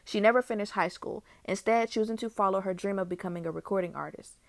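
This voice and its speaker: A young woman hosting her own channel, reading a biography aloud in a steady way with small restarts. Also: medium pitch, quick pace, dry recording. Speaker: YouTube host. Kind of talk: read-aloud biography narration.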